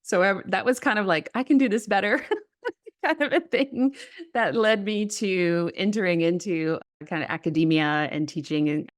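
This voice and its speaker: A woman's voice: mid-range voice, moderate pace. A woman writing coach, a former professor, talking as a podcast guest, reflective and laughing easily. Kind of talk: casual interview talk.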